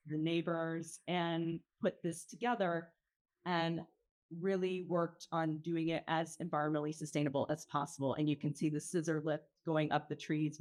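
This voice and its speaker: A woman presenting an arts organization's work in an informal slide talk, explaining in a measured, halting way with pauses. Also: low-pitched. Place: event pavilion.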